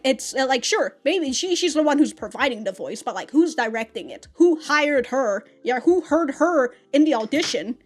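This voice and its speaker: A young woman reviewing an anime episode in casual talk, ranting and swearing, with many fillers and laughs, close to the mic indoors. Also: high voice. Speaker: anime reaction YouTuber. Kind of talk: casual reaction commentary. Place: small room indoors with green-screen backdrop.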